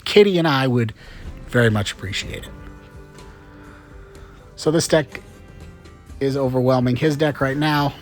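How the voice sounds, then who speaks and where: A man's voice: mid-range voice, unhurried, long pauses, faint music behind. A chatty, upbeat man hosting casual gameplay commentary with frequent fillers, a little congested from a cold. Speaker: gaming channel host.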